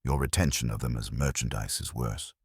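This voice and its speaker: Man voicing a very deep, imperious detective. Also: husky, no echo, clean studio sound, exaggerated voice. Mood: scornful. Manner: formal, ornate, measured rebukes. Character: stern imperious older male detective, pedantic and scornful.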